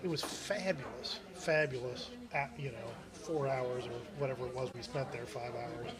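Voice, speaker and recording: A man's voice: mid-range voice, moderate pace. A man talking in a casual, conversational way at a club meeting. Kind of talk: casual chat. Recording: live club meeting with crowd noise.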